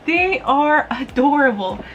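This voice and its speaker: Girl doing a chatty review vlog, kinda high voice, super gushy n giggly, some noise behind her. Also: animated, takes it slowly, highs cut off. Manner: gushing, giggly, playful, informal with mild swearing. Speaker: host of a book review vlog. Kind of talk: casual chatty review to camera.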